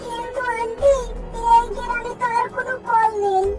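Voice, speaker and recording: A woman's voice: fairly even intonation, moderate pace, over loud music. A woman voicing a tearful little village girl. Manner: whimpering, pleading, high and soft.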